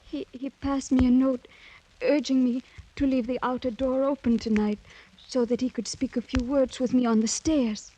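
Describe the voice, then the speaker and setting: A woman acts a young aristocratic lady in vintage radio drama style. Her voice is high, her speech formal and earnest. She carries herself with demure, wounded dignity. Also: fairly even intonation, normal speed, mellow, a little hiss or hum, lightly acted, rough recording. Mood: troubled, hesitant. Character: proud, demure young French noblewoman. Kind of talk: 1940s radio drama acting.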